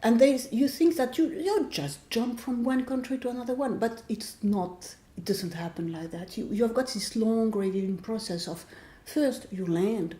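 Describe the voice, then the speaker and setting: A woman in her sixties from France with a French accent, a novelist speaking reflectively in an interview, often pausing to search for words. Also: on the high side, mellow. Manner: thoughtful, searching for words, restarts phrases. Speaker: author being interviewed. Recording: echoey room.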